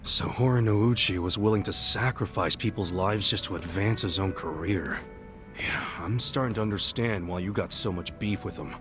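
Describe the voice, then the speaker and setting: An American man acting a loyal, warm-hearted ex-gangster in a video game cutscene, earnest, plain-spoken and streetwise. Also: fairly low pitch, moderate pace, over music, natural voice. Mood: sympathetic, probing.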